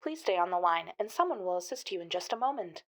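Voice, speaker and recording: An actress playing the formal recorded greeting of a government hotline. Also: female voice, fairly low pitch, sing-song, fast. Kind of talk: scripted phone announcement.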